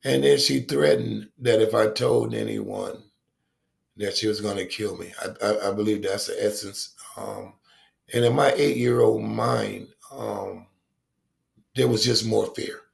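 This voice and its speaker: A man around sixty with a deep voice, telling his recovery story as a guest in a reflective, halting way with many pauses and ums. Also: fairly even intonation, gravelly. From Rochester, New York, USA.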